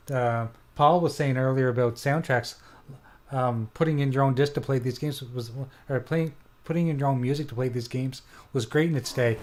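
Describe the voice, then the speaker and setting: A man's voice: medium pitch, slight background noise. A man in his forties chatting on a podcast, telling rambling stories with many restarts. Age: 40s. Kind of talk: casual podcast chat.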